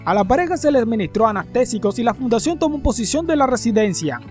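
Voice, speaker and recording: Guy narrating a scripted report, pretty high voice, really lively intonation, faint music behind. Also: quick pace. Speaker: narrator of horror fiction channel.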